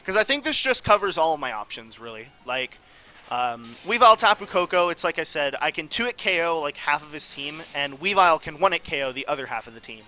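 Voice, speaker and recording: A man's voice: on the high side, lively intonation, a little fast, pushed and bright, rough recording. A man giving casual, off-the-cuff commentary on a game as host, rambling and analytical as he thinks aloud. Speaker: gaming video host.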